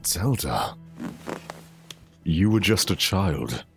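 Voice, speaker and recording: Man acting a slow, theatrical villain king. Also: deep voice, very animated, slightly rough, faint music behind, exaggerated voice. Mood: grave, tender. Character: proud, hot-tempered but tender self-proclaimed king of evil. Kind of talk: dramatic comic-dub voice acting.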